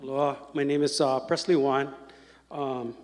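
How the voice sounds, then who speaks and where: A man from Hawaii testifies at a public meeting in an earnest, halting way, repeating words as he gathers his thoughts. His mid-pitched voice carries a long hall reverb. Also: level tone, darkish tone. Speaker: public commenter.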